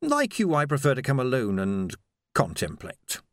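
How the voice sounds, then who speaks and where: A man voicing an unruffled, drily amused aristocratic city ruler in an audiobook, measured, formal and understated. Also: medium pitch, huge pitch swings, dry recording, clean studio sound. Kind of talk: dramatic audiobook character dialogue.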